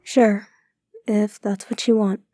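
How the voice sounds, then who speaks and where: A woman's voice: mid-range voice, flat delivery, slow pace, mellow, dry recording, studio quality. A woman playing a guarded, protective police chief and weary, stubborn older sister in an audio drama. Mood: concerned, gentle.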